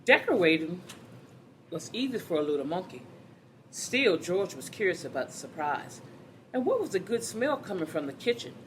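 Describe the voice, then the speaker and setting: A woman's voice: fairly low pitch, very animated, normal speed, a little hiss or hum, rough recording. A woman reading a children's story aloud, expressively, addressing her young listeners directly.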